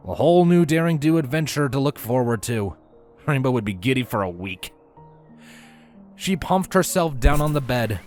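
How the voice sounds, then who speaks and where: A man narrating a story from a script in an expressive, animated way with theatrical emphasis. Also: a little high. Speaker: audiobook-style narrator of fan fiction.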